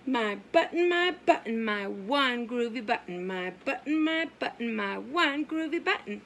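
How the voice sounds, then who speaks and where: A woman preschool teacher reading a story aloud for young children in a sing-song, exaggerated way, asking questions and pausing. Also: a little high, expressive, fairly bright tone, a little hiss or hum. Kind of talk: animated children's story time read-aloud.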